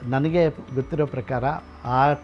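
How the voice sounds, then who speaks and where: A man's voice: mid-range voice, steady tone, unhurried. A middle-aged man gives an informal, explanatory guided talk as a collector, mixing in English words. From Karnataka, India. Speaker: radio collector and museum founder. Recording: dull lo-fi sound, music behind. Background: music.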